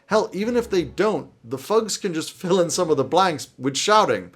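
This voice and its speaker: Higher-pitched man, emphatic and conversational. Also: expressive, moderate pace, husky. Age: 30s. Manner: emphatic, opinionated. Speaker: host of tabletop roleplaying video channel. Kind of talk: conversational advice to camera. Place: small indoor home office.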